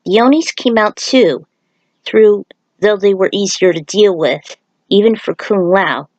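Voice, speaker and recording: Woman, scripted story narrator, dramatized reading, mid pitch, dry recording. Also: measured pace, a little mellow, no room sound, highs cut off. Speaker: fan fiction reader.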